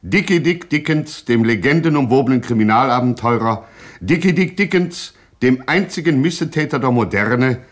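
An actor playing a pompous, deadpan narrator in a satirical gangster radio play. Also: male voice, mid-range voice, lightly acted. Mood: grandiose. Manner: grandiose, deadpan. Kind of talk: mock-solemn scripted radio drama narration.

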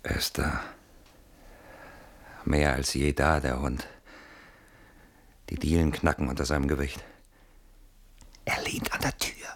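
An anxious, obsessive man in a radio drama. His voice is deep and rough around the edges, and he phrases things with brooding, sardonic deliberation. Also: flat delivery, takes it slowly, long pauses, full character voice. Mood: hushed, fascinated dread. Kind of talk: German radio play acting.

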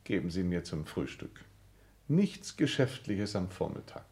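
An actor playing a snobbish, flippant aristocratic count in a radio play, with a haughty, sardonic, drawling manner. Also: male voice, low-pitched, very animated, a little slow, gravelly, darkish tone, full character voice. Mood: breezy, aloof. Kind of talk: 1960s German radio play acting.

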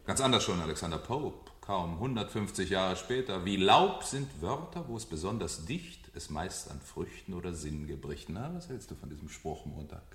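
An actor playing a mocking fire captain with a falsely hearty, theatrical, menacing manner in a 1970s German radio drama. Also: male voice, sits low, very animated, normal speed, few pauses. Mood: declaiming, taunting. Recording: echoey room, vintage radio play.

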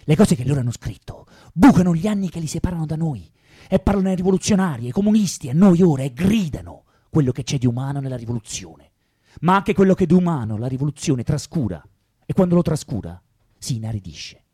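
An actor playing an impassioned young revolutionary man who orates rhetorically and deliberately in a radio drama monologue. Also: on the high side, sing-song, mellow, breathy edge, no room sound, clean studio sound. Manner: rhetorical, deliberate, swelling and dropping. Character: impassioned young revolutionary man orating about Russian poets.

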